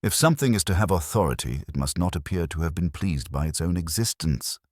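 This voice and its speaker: A man's voice: low-pitched, animated, a little fast, dry recording, clean studio sound. A man voicing a prim, imperious older English widow who speaks in precise, formal aphorisms for an audio mystery drama. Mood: precise, lofty.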